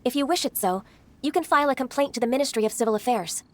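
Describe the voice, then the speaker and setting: A solemn, earnest young official in a video game. Her voice is high, and she speaks formally and courteously in measured phrases. There is faint noise in the background. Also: lively intonation. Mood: apologetic.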